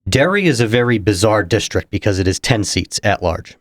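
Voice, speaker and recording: A young man from New Hampshire, a political candidate, explaining things in a measured, casual interview manner. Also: lowish voice, lively intonation, no echo. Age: young adult. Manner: measured, explanatory, chuckles between points.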